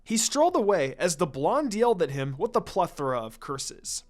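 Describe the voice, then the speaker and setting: Animated, higher-voiced man narrating a story. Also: normal speed. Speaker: fan fiction reader, narrator. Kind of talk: expressive scripted story narration.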